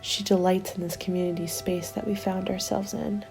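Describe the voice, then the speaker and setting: A woman playing a weary but hopeful zombie-apocalypse survivor reading out a winter journal, in a soft, breathy, intimate ASMR roleplay style that often slips toward a whisper. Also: medium pitch, flat delivery, normal speed, soft background music, natural voice. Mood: guarded wonder. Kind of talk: ASMR roleplay, scripted dramatic narration.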